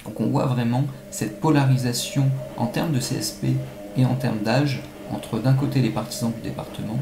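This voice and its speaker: A man giving measured, analytical answers as an academic expert being interviewed, with some halting phrasing. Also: medium pitch, fairly even intonation, over music. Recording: echoey room, faint-to-clear background noise.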